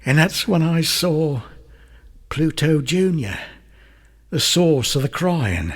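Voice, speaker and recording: An actor playing a guilt-ridden, drug-addled London murderer giving a halting, theatrical confession in a radio drama. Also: male voice, a little high, expressive. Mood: frantic, hysterical.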